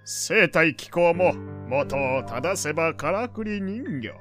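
An actor playing an opinionated, kindly old gentleman in an audio drama, lecturing in old-fashioned elderly phrasing. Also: on the high side, expressive, slow, soft background music. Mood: pleased, explaining. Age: older. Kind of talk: Japanese audio drama acting.

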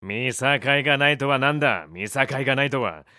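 An actor playing a gruff middle-aged cafe owner in an audio drama, blunt and offhand with cheeky retorts. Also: male voice, medium pitch, animated, normal speed, pushed and bright, no room sound, studio quality. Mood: nonchalant. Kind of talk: Japanese audio drama acting.

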